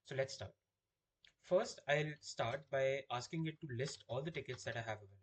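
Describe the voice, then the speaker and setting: A man with a slightly husky voice giving a software demo, explaining things step by step as a product demonstrator. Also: medium pitch, brisk, a little hiss or hum.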